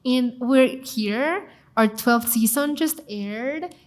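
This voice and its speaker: Mexican lady talking big and excited on a panel, kinda high voice. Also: slow pace, noisy background. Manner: expressive, enthusiastic, emphatic. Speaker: TV cooking show host, guest. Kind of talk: panel conversation.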